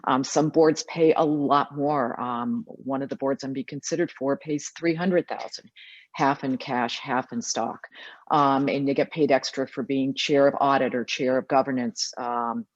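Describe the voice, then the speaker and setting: A woman's voice: extremely low pitch, fairly even intonation, measured pace, dry recording. A middle-aged woman speaking frankly as a panelist and board director, with many fillers. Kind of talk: panel discussion. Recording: dry, dull lo-fi audio.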